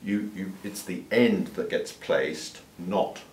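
Older man doctor, calm and low. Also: slow. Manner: calm, explanatory, with small restarts. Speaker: doctor demonstrating an exam. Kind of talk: clinical teaching demonstration. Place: clinic examination room. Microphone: far camera mic. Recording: roomy, distant sound with faint room hiss.

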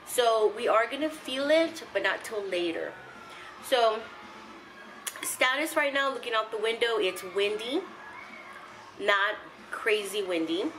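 A middle-aged woman from South Texas chatting casually to a handheld camera in her home kitchen, relaxed and full of ums and likes. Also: on the high side, measured pace, long pauses, soft background music, low-quality recording. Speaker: vlogger. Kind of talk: casual home vlog chat. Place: home living room and kitchen, indoors. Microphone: handheld camera close to face.

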